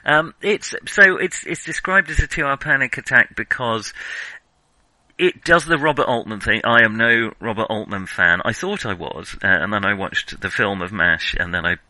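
Bright, forceful man hosting a film podcast. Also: on the low side, normal speed, dry recording, low-quality recording. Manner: wry, self-deprecating, hesitant and rambling. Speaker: podcast host. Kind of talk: casual film discussion.

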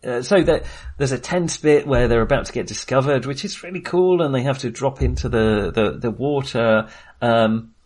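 A man's voice: mid-range voice, normal speed, a little mellow. A man hosting a casual film discussion, wry and amused, rambling and hesitant as he trails off mid-sentence. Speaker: film podcast host.

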